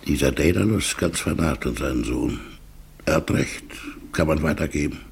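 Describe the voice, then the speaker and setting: A brooding middle-aged man in an old radio drama. His voice is very husky and full of creak. He speaks haltingly, murmuring as if thinking aloud, on the low side. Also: exaggerated voice. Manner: halting, murmuring, drifting into inward monologue. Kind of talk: 1960s German radio play acting.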